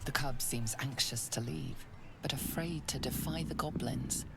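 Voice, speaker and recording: Creaky, solemn game narrator. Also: female voice, rumbling low, a little slow, exaggerated voice. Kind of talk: dramatic scripted narration. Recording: roomy echo, music behind.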